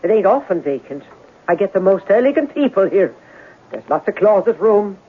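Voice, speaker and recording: A woman's voice: medium pitch, big pitch swings, moderate pace, slightly rough. An actress playing a nervous, evasive middle-aged landlady with colloquial, uneducated speech in an old-time radio drama. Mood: brisk, businesslike. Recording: tinny band-limited vintage radio recording.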